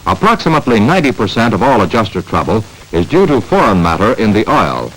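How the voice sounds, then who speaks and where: Lively-pitched American man, 1950s training film narrator. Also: poor audio. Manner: measured, instructional, emphatic. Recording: vintage 1950s film soundtrack.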